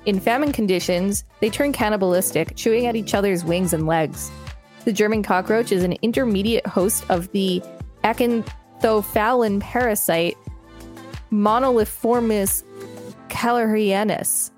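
A woman's voice: medium pitch, measured pace, darkish tone. Woman podcast co-host, faint music behind. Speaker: podcast co-host reading encyclopedia articles. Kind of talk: read-aloud narration with casual commentary.

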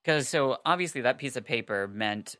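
A woman's voice: extremely low pitch, lively intonation, normal speed, fairly bright tone, no echo, studio quality. Giggly, chatty woman podcast host. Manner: giggly, chatty, lots of "like" and "I mean". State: a little husky. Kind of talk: casual fan podcast chat.

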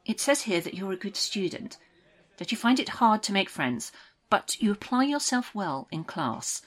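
An actress playing a condescending woman official in an audio drama, speaking in a measured, falsely soothing, patronising way. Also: medium pitch, big pitch swings. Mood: condescending, probing. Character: coolly condescending official woman running orientation interviews.

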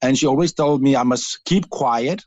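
Male caller with a South African accent, earnest and halting. Dry, slightly muffled line. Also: mid-range voice, no room sound. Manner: earnest, halting, with frequent restarts. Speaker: radio caller. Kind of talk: radio interview.

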